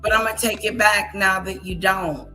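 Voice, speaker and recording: A woman's voice: medium pitch, steady tone, moderate pace, bright, forceful tone, soft background music. A woman hosting a reaction commentary, scolding and incredulous as she picks apart a clip. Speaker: commentary channel host.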